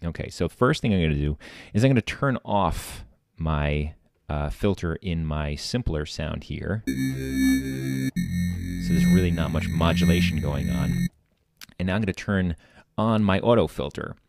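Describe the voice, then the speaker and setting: Man, very low gravelly voice, informal tutorial host, explaining as he goes. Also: unhurried, long pauses. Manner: informal, thinking aloud. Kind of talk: casual software tutorial, explaining while demonstrating. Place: screen-recording voice-over. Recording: loud electronic bass music behind. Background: dubstep bass and drum loops.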